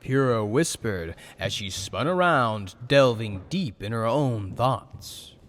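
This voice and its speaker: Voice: mid-range voice, animated, takes it slowly. A narrator reading a scripted story for an audio drama in storybook style.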